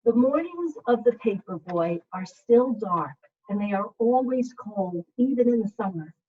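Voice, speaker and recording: Female primary teacher, slightly high and breathy-rough, measured children's read-aloud delivery, narrow video-call sound. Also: expressive. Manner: measured, simple phrasing for young listeners. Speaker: second grade teacher. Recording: muffled, phone-like video call audio.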